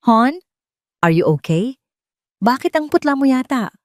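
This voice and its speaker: A woman's voice: sits high, very animated, unhurried, no room sound, clean studio sound, voice pushed up. A woman with a Filipino accent voicing a deceitful husband who feigns tender concern in an audio drama. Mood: feigned concern. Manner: solicitous, falsely caring.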